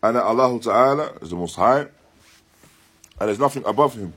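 A man with a slightly husky voice teaching an Islamic lesson in deliberate, halting phrases, restating points with emphasis and reciting Arabic. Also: mid-range voice, animated, fairly bright tone. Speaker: religious teacher giving a lesson. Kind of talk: Islamic lecture explaining a text, with Arabic recitation. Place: live-streamed lesson at an Islamic centre.

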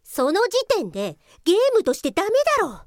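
A woman playing an exasperated, sharp-tongued office police officer in a comedy radio drama, with blunt scolding retorts. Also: high-pitched, sing-song, quick pace, dry recording, clean studio sound. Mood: interrogating.

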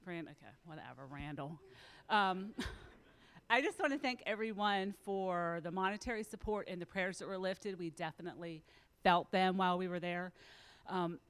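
A woman's voice: lowish voice, smooth. A woman nervously sharing a testimony with a church audience, close to tears and checking the microphone. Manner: nervous and emotional, fusses with the mic. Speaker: mission team member. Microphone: handheld microphone.

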